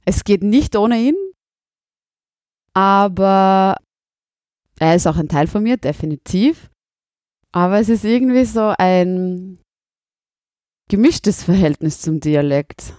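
A woman's voice: medium pitch, takes it slowly, pauses often, mellow, no room sound, clean studio sound. A woman teacher from Burgenland with an Austrian accent, answering interview questions in a thoughtful, candid way.

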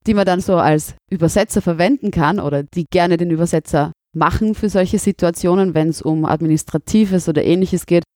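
Austrian woman in her thirties, teacher, measured and candid, dry close mic. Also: on the low side, a little mellow, dry recording. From Burgenland, Austria. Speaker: teacher, interviewee. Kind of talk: interview answers.

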